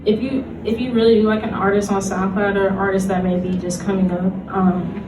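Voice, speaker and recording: A woman's voice: medium pitch, level tone, a little fast, background noise. A woman giving a casual, informal panel presentation, talking loosely and conversationally with lots of "like". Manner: conversational, loose, filler-heavy with frequent "like" and small laughs. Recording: roomy distant mic, loud music behind.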